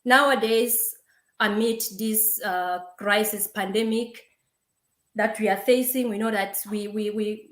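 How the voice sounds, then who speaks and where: A young woman from Lesotho whose first language is Sesotho, giving a halting webinar presentation over a video call, with many fillers and repeated words. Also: on the high side. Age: young adult. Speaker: webinar speaker. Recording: roomy video call audio.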